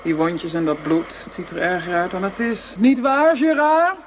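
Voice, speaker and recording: A woman's voice: lowish voice, moderate pace, lightly acted. Actress as female ringleader, radio play, silky, menacing, commanding, mocking, noisy old recording. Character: cold, menacing female criminal ringleader, seductive and cruel.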